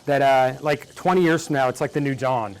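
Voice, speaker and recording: A man's voice: medium pitch, brisk, clear, resonant tone, rough recording. A man in his forties hosting a baking show, chatting and teasing his guest as he bakes. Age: 40s. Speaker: cooking show host. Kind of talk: casual chat while baking.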